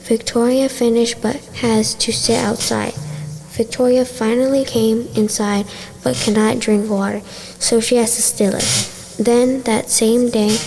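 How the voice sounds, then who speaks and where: A woman's voice: on the high side, gravelly, a little mellow, rough recording. A girl in her early teens reading a scripted story aloud as a student. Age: young teenager. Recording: faint ambient background noise.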